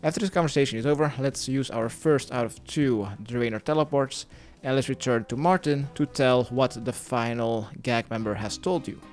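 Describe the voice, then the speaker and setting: A man's voice: mid-range voice, moderate pace, few pauses. A man narrating a step-by-step video game guide, giving plainly worded instructions as a tutorial voice-over. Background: music.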